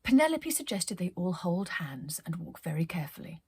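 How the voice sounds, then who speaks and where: A woman hosting storytime, narrating a children's story expressively and deliberately, with hushed phrase endings. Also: lowish voice, sing-song, breathy edge, dry recording. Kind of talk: animated children's story reading.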